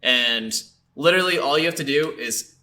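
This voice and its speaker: Bright-voiced man chatting about games. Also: medium pitch, animated. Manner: amused, quick to laugh. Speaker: podcast co-host reviewing video games. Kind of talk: casual, unscripted chat.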